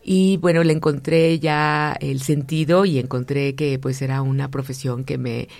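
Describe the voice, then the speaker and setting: A woman's voice: very deep voice, steady tone, a little slow, gravelly, darkish tone, no echo. A woman in her fifties with a Mexican accent, a university lecturer answering conversationally as an interview guest. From Aguascalientes, Mexico. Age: 50s.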